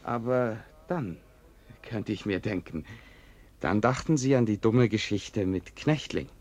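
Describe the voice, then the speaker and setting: An actor playing a wry, slyly flattering narrator in a radio drama, speaking in a measured, insinuating way. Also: male voice, lowish voice. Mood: sly, soothing. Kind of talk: radio drama narration addressing listeners and character.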